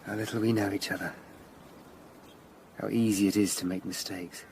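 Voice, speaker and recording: An actor playing a weary, driven investigator in a period radio drama, speaking earnestly and formally. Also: male voice, on the low side, fairly even intonation, moderate pace, darkish tone, a little hiss or hum, natural voice. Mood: wistful.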